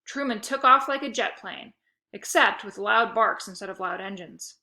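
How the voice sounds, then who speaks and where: A woman reading a children's novel aloud with a lively, expressive storytelling manner. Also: mid-range voice, normal speed. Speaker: reader.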